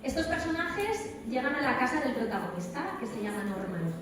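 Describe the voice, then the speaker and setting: A woman's voice: sits high, lively intonation, normal speed. A woman with an accent from Spain speaking on a live panel, emphatic and repeating words for stress as she tells anecdotes and gives advice. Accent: Spanish from Spain. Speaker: voice actress, guest speaker. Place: large echoey event hall at a convention. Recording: echoey hall, distant mic, crowd noise. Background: crowd chatter.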